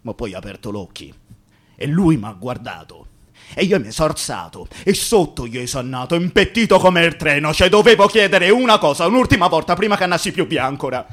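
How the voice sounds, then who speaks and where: The ghost of a Roman labourer killed on site, in a fairly high male voice. He rambles in thick street dialect, bitter and tender at once. Phrases return like an incantation, in the manner of a theatrical radio play. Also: very animated, brisk, a bit bright. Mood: bewildered, recounting. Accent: Roman Italian. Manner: rambling, colloquial, incantatory repetition. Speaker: stage actor.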